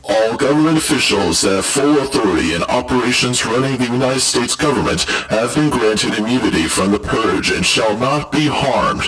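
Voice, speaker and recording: A man with a fairly low voice acts as an emergency broadcast announcer. He reads a formal, scripted notice in a measured way. His delivery is stern and solemn throughout. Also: fairly bright tone, low-quality recording.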